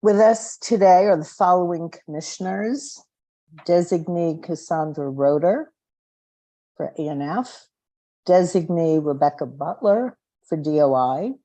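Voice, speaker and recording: A woman serving as vice chair, formally opening and running a public commission meeting in a measured, slightly halting way. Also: lowish voice, dark tone, rough recording.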